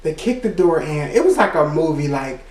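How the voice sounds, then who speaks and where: A man with a high voice telling a personal story in a casual, rambling way, full of "you know" and quick laughs. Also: animated, a little mellow, echoey room, far from the mic. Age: adult. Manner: laughs between remarks. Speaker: vlogger.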